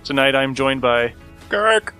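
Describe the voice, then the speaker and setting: A man's voice: medium pitch, animated, measured pace, sharp tone, clean tone, soft background music. A man hosting a podcast, giving a casual introduction. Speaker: podcast host. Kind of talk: casual scripted intro and chat.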